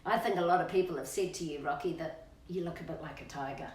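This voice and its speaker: A woman's voice: deep voice, fast talker, darkish tone. A middle-aged Australian woman chatting to children and a pet in a playful, coaxing storytime manner, with sing-song questions. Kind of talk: chatty children's storytime, talking to kids and her dog. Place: indoors at home, on a couch. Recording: roomy echo, distant microphone.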